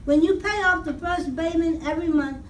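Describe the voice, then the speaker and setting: A woman's voice: extremely high pitch, a little fast. A woman playing an exasperated questioner in a vintage comedy routine. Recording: echoey room, distant mic, background noise.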